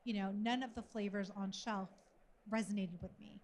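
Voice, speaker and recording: A woman's voice: medium pitch, fairly even intonation, normal speed, a little mellow, a little hiss or hum. A woman from Sudan speaking as a panel guest, telling a personal story in a conversational way. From born in Sudan. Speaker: panel guest, food company founder.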